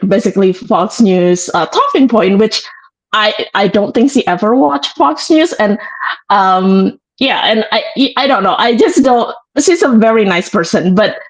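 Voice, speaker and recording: Woman, casual political chat, hesitant, many fillers, middle pitch. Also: low-quality recording. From Indonesia. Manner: hesitant, lots of ums and uhs, laughs often. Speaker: show participant.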